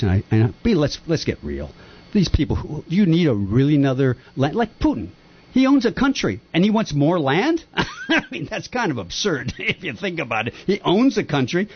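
An older man who was born in Japan, talking earnestly and at length with rambling, impassioned opinions on a radio-style talk show. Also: breathy edge. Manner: earnest, rambling, lively pitch swings. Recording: dull lo-fi sound.

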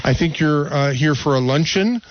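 A man's voice: a little high, moderate pace, highs cut off, slight background noise. A man hosting a local radio interview, hesitant and full of uh and um. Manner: hesitant, many fillers. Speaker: radio talk show host.

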